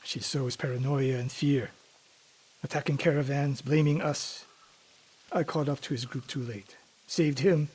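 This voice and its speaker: An actor playing a proud, bitter older samurai archery master in a video game, speaking in a stern, formal, clipped way, with music behind him. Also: male voice, medium pitch, fairly even intonation, measured pace, a little mellow, breathy edge. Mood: brisk, serious. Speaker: archery sensei. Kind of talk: dramatic video game voice acting.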